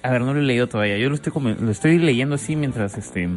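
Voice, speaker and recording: A man's voice: medium pitch, fast, soft background music. A man hosting a comic radio segment, playfully teasing callers and reading jokes aloud. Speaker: radio host.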